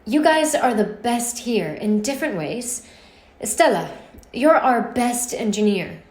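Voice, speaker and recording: A woman's voice: a little high, expressive, unhurried, a little mellow. A woman voicing a kind but pressured female office boss in a story, earnest and a little hesitant. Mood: businesslike. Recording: roomy, echoing space.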